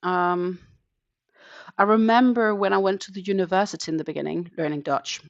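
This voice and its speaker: Danish-native woman in her 30s, lower gravelly voice, relaxed live-stream crochet chat, dull lo-fi sound. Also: moderate pace. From Denmark. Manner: relaxed, counts stitches aloud, chatty with viewers. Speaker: crochet channel host. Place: home in the Netherlands.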